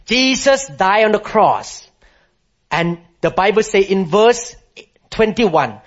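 A male pastor preaching a sermon to a congregation, emphatic and rising to high, impassioned declarations, calling for responses from the room. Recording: muffled lo-fi sermon recording.

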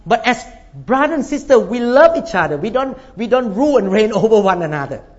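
A man's voice: high voice, very animated, a little fast, darkish tone. A man, from Thailand, preaching a sermon as a pastor, emphatic and repeating his points while calling for amens from the congregation. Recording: dull lo-fi recording.

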